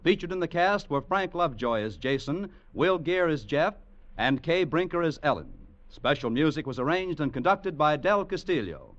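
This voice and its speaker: A man's voice: a little high, expressive, normal speed, fairly bright tone, a little hiss or hum. A man announcing in a formal, scripted 1940s radio style. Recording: muffled vintage radio recording.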